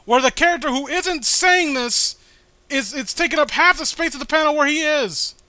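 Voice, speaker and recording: A man's voice: extremely high pitch, moderate pace, sharp tone, no echo. A man co-hosting a casual comic read-along, rambling and exasperated, with frequent swearing. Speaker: co-host reading a webcomic. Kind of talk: casual comic riffing and commentary.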